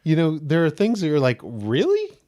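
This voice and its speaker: A man with a slightly high, gentle voice, telling stories in a casual podcast chat, laughing often and acting out what people said. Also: normal speed. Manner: animated storyteller, laughs often, acts out quotes. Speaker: guest actor.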